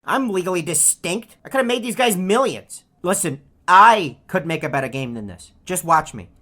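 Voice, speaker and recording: A woman voicing a lazy, greedy bird who heckles in a deadpan, sarcastic way as a video game character. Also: fairly low pitch, normal speed. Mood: deadpan mocking.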